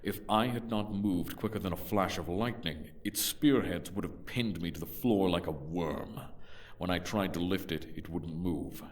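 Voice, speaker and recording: Dude voicing big fierce barbarian, really deep voice, blunt and terse, bit distant from mic, audio drama. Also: male voice, normal speed, lightly acted. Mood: grim. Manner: terse, blunt, matter-of-fact. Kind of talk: fantasy audio drama acting.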